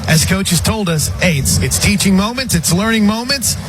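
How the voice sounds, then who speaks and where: A man reporting from the sideline of a football game on radio, conversational with halting restarts. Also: high-pitched, expressive, noisy background.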